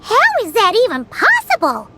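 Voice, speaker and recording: A woman voicing a tiny, excitable female companion creature who talks about herself in the third person. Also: very high voice, moderate pace, background noise, exaggerated voice. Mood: puzzled. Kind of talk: video game voice acting.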